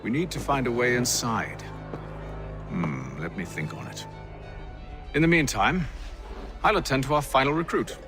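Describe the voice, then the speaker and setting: An actor playing a poised, cunning gentleman leader in a video game, formal, measured and suave. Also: medium pitch, very animated, over music, natural voice. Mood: businesslike.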